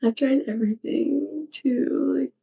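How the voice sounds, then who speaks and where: A sheepish young witch next door , acted by a woman, speaks softly and slowly in an intimate ASMR roleplay. Her voice is fairly high with a creaky, crackling edge. She talks haltingly and fondly, as if confiding in her neighbor. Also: heavily acted. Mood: sheepish, amused. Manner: hesitant, halting, giggly. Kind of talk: soft ASMR roleplay monologue to the listener.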